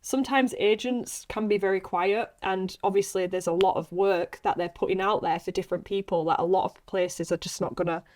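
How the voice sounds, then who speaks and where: Actress woman on a podcast, casual chat, medium pitch, really encouraging, repeats stuff to make the point. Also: steady tone, fairly quick, hardly any pauses, darkish tone. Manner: earnest, encouraging, emphatic with repetition. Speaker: actress guest.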